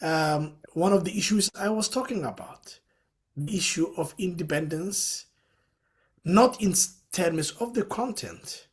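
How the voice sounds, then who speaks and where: Earnest man explaining at length. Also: high-pitched. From Somalia. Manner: earnest, explanatory, many "you know" fillers. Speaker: panel speaker. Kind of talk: webinar panel discussion.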